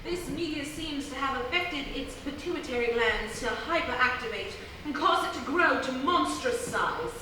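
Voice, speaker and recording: A bookish professor played by a woman in a live radio comedy, with a high voice and a dry, pedantic delivery. She is earnest about monster science. The sound is distant and very echoey, with clear noise. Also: animated, moderate pace, hardly any pauses. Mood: dryly pedantic. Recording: roomy live theatre, distant mic, noisy.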